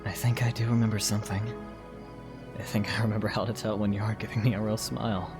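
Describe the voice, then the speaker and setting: A man playing a weary, wounded young swordsman in a fantasy audio drama, soft-spoken, halting and quietly affectionate. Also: lowish voice, flat delivery, lightly acted. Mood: soft, fond. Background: prominent music.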